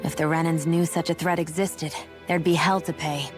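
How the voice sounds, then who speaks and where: A woman's voice: sits low, little pitch movement, normal speed, natural voice. A woman playing a curt, pragmatic young outcast in a video game cutscene. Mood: scornful. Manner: curt, brusque. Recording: music behind.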